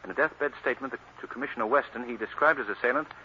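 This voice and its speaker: An actor playing a wealthy, urbane young amateur detective, assured and easygoing, in 1940s radio drama style. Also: male voice, mid-range voice, very fast, sharp tone. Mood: serious, intent. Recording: dull, hissy old radio drama.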